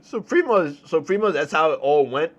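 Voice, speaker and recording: A young man with a New York accent telling a story in a casual, slangy, animated way, repeating phrases for emphasis. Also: on the high side, quick pace, lo-fi sound, background noise. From New York City, United States. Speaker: storytelling streamer.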